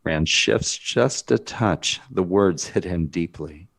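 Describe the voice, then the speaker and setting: A man's voice: low-pitched, slow, creaky. A man narrating stage directions as scripted narration in a screenplay table read.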